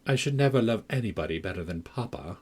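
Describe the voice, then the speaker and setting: A man's voice: fairly low pitch, animated, quick pace, slightly rough. A man voicing a pert, impulsive young girl who speaks with passion. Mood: earnest. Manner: pert, impassioned. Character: impulsive, affectionate young girl, quick-tempered.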